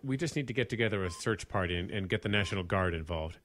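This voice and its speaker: Man acting an earnest, halting park ranger, swooping pitch. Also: lively intonation, a bit bright, slight background noise. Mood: weary concern. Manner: halting, stammering, pleading. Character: earnest, shaken male park ranger, hesitant under pressure. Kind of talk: audio drama acting.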